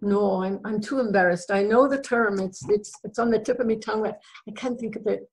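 A woman's voice: medium pitch, a little fast, lightly acted, low-quality recording. A woman voicing a stubborn, sullen Irish wife in a comic story, curt and scornful, with an affected brogue. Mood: embarrassed, refusing.

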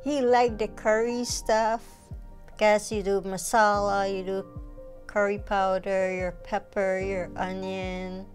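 A woman's voice: mid-range voice, level tone, slow. A woman in her forties with a Guyanese accent, telling her personal story in an interview. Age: 40s. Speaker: client sharing personal story. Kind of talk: interview testimonial. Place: small furnished living room indoors. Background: soft music.

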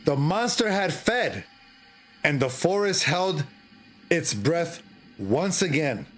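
Middle-aged male horror storyteller: higher-pitched, theatrical, halting, lively intonation. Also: faint music behind. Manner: theatrical, halting delivery with repeated phrases. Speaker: streamer, horror story reader. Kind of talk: dramatic storytelling read aloud.

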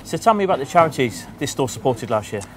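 A man presenting and interviewing shop owners in brisk, upbeat questions. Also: mid-range voice, sing-song. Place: shops and community hall, indoors.

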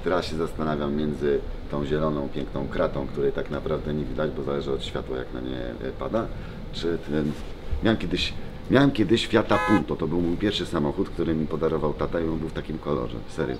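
A man's voice: rumbling low, a little fast. A man, chatting casually to the camera in a vlog. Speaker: vlog subject, MMA fighter. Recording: noisy on-location vlog audio.

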